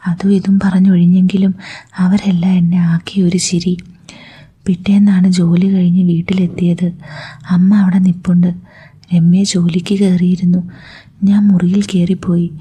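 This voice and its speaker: Whispering woman, dark and husky. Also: fairly low pitch, level tone, unhurried. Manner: breathy whisper throughout. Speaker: story narrator. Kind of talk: whispered first-person story reading.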